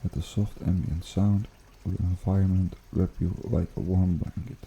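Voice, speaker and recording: A man hosting an ASMR guided meditation in a hushed, unhurried, soothing way with gentle pauses. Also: low voice, fairly even intonation, gravelly, slightly rough, muffled recording. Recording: water trickling behind the voice. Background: pond water bubbling and trickling.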